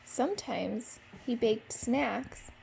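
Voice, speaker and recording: A woman's voice: a little high, slow pace, creaky, slight background noise, low-quality recording. A woman teacher reading a picture book aloud expressively to children. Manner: expressive, simple phrasing for young children.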